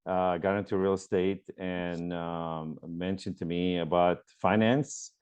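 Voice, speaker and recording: A man's voice: low voice, steady tone, measured pace, a little mellow, clear, resonant tone. A man from Lebanon, a mortgage broker guest, answering interview questions earnestly with many ums and uhs over a remote call. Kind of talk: conversational interview.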